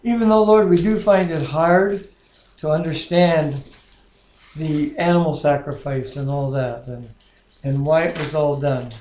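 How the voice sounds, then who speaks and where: A man's voice: a little high, unhurried, darkish tone. A man in his sixties with a North American accent, reading scripture and praying aloud in a living room. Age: 60s. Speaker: co-host of a home Bible reading. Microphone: webcam at about arm's length. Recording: echoey living room webcam audio.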